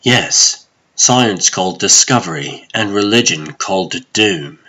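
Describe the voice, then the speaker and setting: Man acting a grave, low-voiced sorcerer lawman. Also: animated, a little slow, husky, exaggerated voice. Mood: weary, wary. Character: stern, weary male sorcerer sheriff who lays down the law. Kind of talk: comic dub voice acting. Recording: loud dramatic music behind.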